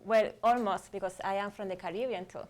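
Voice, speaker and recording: A woman from Cuba speaks as a guest doctor on a talk show. She lays out her ideas on emotions and behavior step by step in a steady, explanatory way. Her voice sits at an ordinary middle pitch. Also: fast.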